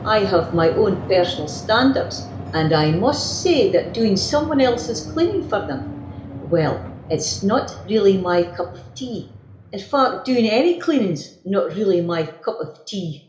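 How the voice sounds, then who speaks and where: A woman's voice: mid-range voice, sing-song, unhurried, a little mellow. A woman playing a gossipy, complaining working-class Scottish wife in a radio play monologue. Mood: gossipy, self-satisfied. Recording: roomy, noisy remote home recording.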